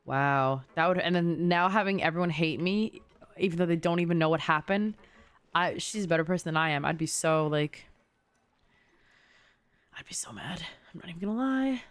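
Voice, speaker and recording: A woman's voice: lowish voice, normal speed, no room sound. A young woman game streamer giving casual, slangy live reactions in a chatty way. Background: game music.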